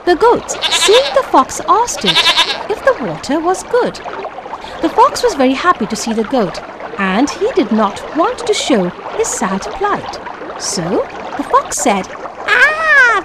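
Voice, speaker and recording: Lady reading a kids story, super high and bouncy, slow, loud music under. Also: heavy background noise. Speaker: narrator. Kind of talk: children's story narration.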